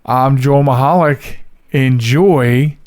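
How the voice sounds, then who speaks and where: A man's voice: medium pitch, slow, darkish tone, no room sound, studio quality. A man hosting a podcast, talking casually and rambling a little as he introduces the show. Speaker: podcast host.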